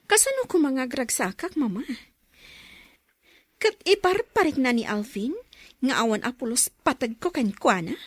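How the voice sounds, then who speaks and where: A woman's voice: sits high, very animated, moderate pace, long pauses, no room sound. A woman playing a desperate, jealous young wife in an emotional Ilocano radio drama, pleading and accusing. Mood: hurt.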